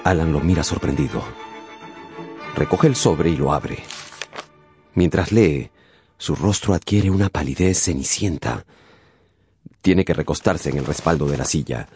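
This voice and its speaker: A man narrating a radio novel dramatically, with deliberate, suspenseful phrasing. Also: very deep voice, darkish tone, faint music behind.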